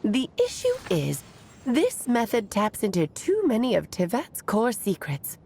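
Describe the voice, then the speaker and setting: A woman voicing a playful, caring magical friend who praises warmly and warns gravely. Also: on the high side, unhurried, exaggerated voice. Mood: earnest. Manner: expressive, swinging between bright praise and grave caution. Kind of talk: video game voice acting.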